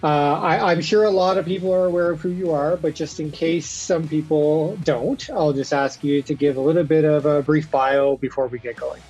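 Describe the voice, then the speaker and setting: A man's voice: fairly high pitch, fairly even intonation, moderate pace, hardly any pauses, a little mellow, husky, lo-fi sound, soft background music. A man hosting a podcast interview in a casual, conversational style. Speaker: podcast host.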